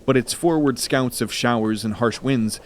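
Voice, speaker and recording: Male fantasy narrator, mid-pitched. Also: moderate pace, slight background noise. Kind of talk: scripted dramatic story narration.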